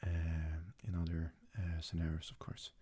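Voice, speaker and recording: A young man from Sweden, a native Swedish speaker, talks casually in a soft-spoken, hesitant ASMR style as he answers questions. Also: extremely low pitch, completely flat delivery, normal speed, slightly rough. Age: young adult. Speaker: ASMR creator.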